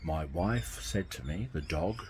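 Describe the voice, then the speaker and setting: A man's voice: low voice, lively intonation, unhurried, background noise. A man, talking casually to camera from outdoors by a garden, rambling and repeating himself. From Australia. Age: 60s. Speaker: vlogger.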